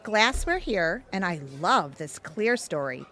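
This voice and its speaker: Confident, witty female friend, actress, animated middle-register voice, audio comedy, light background noise. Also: mid-range voice, a little slow, heavily acted. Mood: enthusiastic. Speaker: actor in an audio drama. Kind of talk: comedic audio drama acting.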